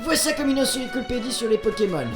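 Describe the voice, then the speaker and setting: A man's voice: very high voice, lively intonation, fast talker, pitched above the performer's own voice. A man voicing a grumpy, easily flustered old scientist in a cartoon. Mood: exasperated. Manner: flustered, exasperated, cursing. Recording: echoey, music behind.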